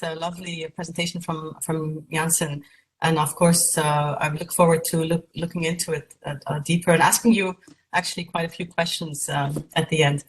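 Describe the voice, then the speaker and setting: A woman from Egypt gives a keynote lecture over a video call. Her voice sits fairly low, and she speaks in a measured way, pausing on fillers and restarts. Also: rough recording. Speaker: keynote speaker, patient advocate.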